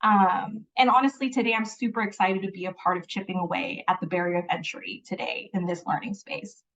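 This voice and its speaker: A woman's voice: medium pitch, fairly even intonation, brisk, fairly bright tone. Earnest woman hosting a video call. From Philippines. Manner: earnest, reflective, laughs lightly. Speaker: webinar host. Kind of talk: scripted welcome and land acknowledgement. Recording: distant, dull lo-fi call audio.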